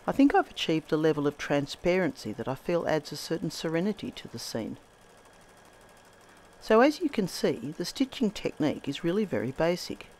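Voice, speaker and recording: A woman narrates a scripted craft demonstration. Her voice is a little croaky from a recent bout of laryngitis. Her pitch moves with lively, singing rises and falls, and her delivery stays measured and gentle. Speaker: textile artist host.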